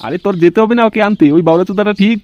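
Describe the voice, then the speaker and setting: Casting: man playing cartoon village elder, high brisk voice, bossy complainer with a crude teasing streak. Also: crowd noise, close to an everyday voice. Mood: grumbling, bossy. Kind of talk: comic cartoon dialogue. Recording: dull lo-fi track with music behind.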